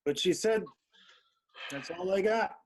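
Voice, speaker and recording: A man's voice: sits high, measured pace, low-quality recording. A man playing a sleazy band manager in his mid-sixties, with a folksy drawl, in a screenplay table read. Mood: pleading, evasive. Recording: remote virtual table read.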